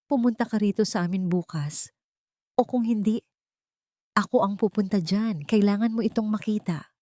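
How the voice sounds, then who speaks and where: A woman's voice: medium pitch, normal speed, dark tone, no echo, studio quality, voice pushed up, lightly acted. A woman voicing a kindly, worried elderly aunt from the province in an audio story, earnest and concerned. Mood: urgent, secretive.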